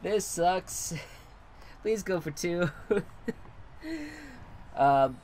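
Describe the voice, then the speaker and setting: A man's voice: sits high, drawn-out words, darkish tone, a little hiss or hum. A congested young man rambling and swearing casually while commentating a football video game. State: congested, stuffy nose. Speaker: gaming commentator.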